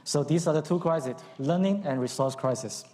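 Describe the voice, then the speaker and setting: A man with a Cantonese accent giving a formal speech at a conference, measured, with frequent ums and uhs. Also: fairly high pitch, darkish tone. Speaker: conference speaker. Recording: long hall reverb.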